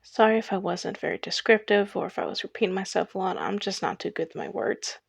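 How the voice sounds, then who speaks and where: Young female video essayist, mid-pitched with noticeable vocal fry, reading a personal script in a dry space. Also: quick pace, no echo.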